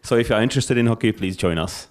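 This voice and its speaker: A man's voice: fairly low pitch, rapid-fire, smooth. A man, a physics fellow, introducing himself and inviting others to join in, friendly.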